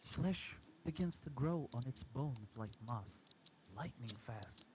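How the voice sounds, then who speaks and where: A man reading a first-person horror story aloud in a halting, dramatic way. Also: medium pitch, lively intonation, noisy background. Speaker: amateur story reader.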